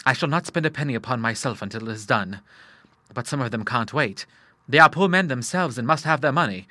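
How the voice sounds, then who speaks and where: A man's voice: mid-range voice, lively intonation, a little fast, pushed and bright, dry recording. Man plays a troubled young city man, earnest and formal, dry close-miked read.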